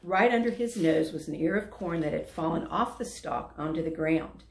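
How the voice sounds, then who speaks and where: A woman reading a children's story aloud as a storyteller, deliberate and expressive. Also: deep voice. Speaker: volunteer storyteller. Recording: echoey room.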